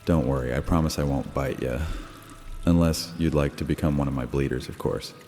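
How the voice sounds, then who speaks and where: A man's voice: a bass voice, fairly even intonation, brisk, dark tone. A man playing a cocky, carefree, flirtatious vampire in an immersive fantasy audio drama, teasing and lazily seductive. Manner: teasing, lazy, seductive, flippant. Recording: long reverb, music bed, water sounds.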